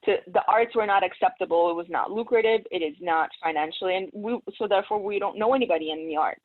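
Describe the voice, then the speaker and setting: A woman talking casually as a guest, animated and emphatic, fond of rhetorical questions. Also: medium pitch, fast talker. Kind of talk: casual conversation. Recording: tinny phone-like line.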